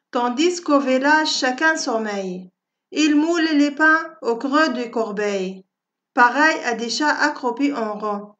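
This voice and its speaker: Female poetry reciter, higher register, unhurried and careful phrasing, slightly muffled lo-fi recording. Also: fairly bright tone. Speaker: poem reciter for schoolchildren. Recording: dull, lo-fi audio.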